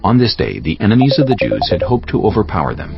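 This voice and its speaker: Very deep-voiced male scripture narrator. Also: very animated, quick pace, gravelly. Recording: muffled audio with prominent music.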